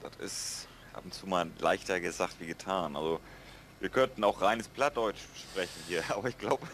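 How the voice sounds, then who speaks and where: A man with a northern German accent chatting calmly while co-playing a farming game, explaining things plainly. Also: sits low, normal speed, slight background noise. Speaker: co-player. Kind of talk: casual gaming chat.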